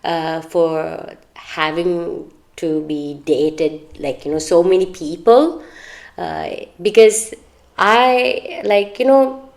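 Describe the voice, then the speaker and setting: A woman's voice: on the low side, takes it slowly, vocal fry, darkish tone. A woman co-hosting a podcast, chatting candidly and earnestly about personal matters, in a talkative, advice-giving way. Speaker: podcast co-host.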